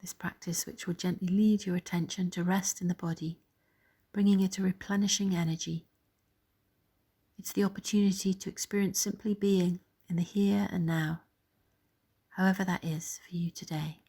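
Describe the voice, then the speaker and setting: A woman leading a guided body scan meditation in a soft, gentle, soothing way, pausing between phrases. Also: lowish voice, steady tone, husky.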